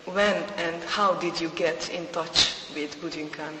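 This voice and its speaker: A man's voice: fairly high pitch, fairly even intonation, unhurried. A man with a Hungarian accent hosting a podcast interview, speaking hesitantly with many fillers. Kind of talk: casual interview. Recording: reverberant room with faint noise.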